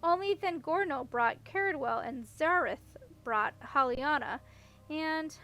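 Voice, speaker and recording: A woman's voice: high voice, takes it slowly, sharp tone, pitched above the performer's own voice, exaggerated voice. A woman voicing an awkward, unlucky young princess in a storybook, earnest and rambling as she explains herself. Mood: rueful. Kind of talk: audiobook character voice.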